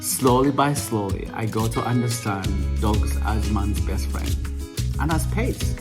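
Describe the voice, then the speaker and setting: A man from Uganda, casually telling a story to the camera in an unhurried, reflective way, sometimes searching for words. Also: lowish voice, over loud music. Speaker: vlog host.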